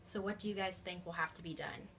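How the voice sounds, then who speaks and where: A woman's voice: lowish voice, fairly even intonation, quick pace, a bit bright. A woman teacher reading a storybook aloud expressively and putting questions to young children. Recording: faint background noise.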